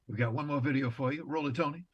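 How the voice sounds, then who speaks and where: A man's voice: medium pitch, fast talker, highs cut off, a little hiss or hum. A man from New York hosting an interview show with courteous, formal announcer phrasing. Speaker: talk show host. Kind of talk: interview and introductions.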